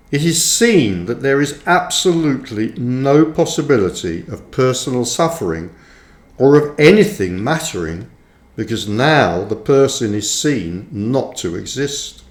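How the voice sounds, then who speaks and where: A man reading his own book aloud as scripted narration, in measured, deliberate phrases with frequent pauses, in a roomy recording. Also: mid-range voice. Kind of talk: audiobook-style scripted narration. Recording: roomy, echoey sound.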